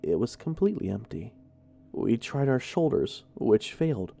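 A man narrates horror in a conversational first-person style. His voice sits at a middle pitch with a soft, mellow tone. He is expressive and animated, moving widely up and down as he tells it. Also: normal speed, vocal fry.

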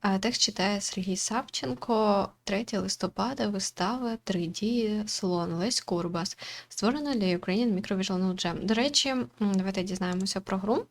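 A woman's voice: mid-range voice, moderate pace. A woman hosting a game playthrough with casual, chatty commentary, reading screen text aloud.

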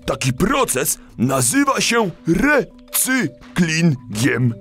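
Slow, lively hippo dad ecologist. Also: male voice, fairly high pitch, faint music behind, full character voice. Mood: friendly, explaining. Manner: enthusiastic, didactic, speaking to kids. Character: friendly hippo dad, an ecologist visiting school. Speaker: guest speaker to children. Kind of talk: children's audio play acting.

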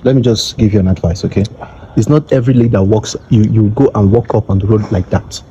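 A man with a Nigerian accent acting in a skit as an excitable young man who repeats his words in agitated bursts. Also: lowish voice, quick pace, highs cut off, a little hiss or hum, lightly acted. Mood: admonishing.

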